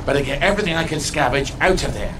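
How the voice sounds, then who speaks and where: An actor playing a gruff, sweary, haunted ex-soldier, blunt and stubborn, in a video game. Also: male voice, fairly high pitch, expressive, very fast, music in the background, close to an everyday voice, low-quality recording. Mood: wry, urgent. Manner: blunt, profane, exclamatory.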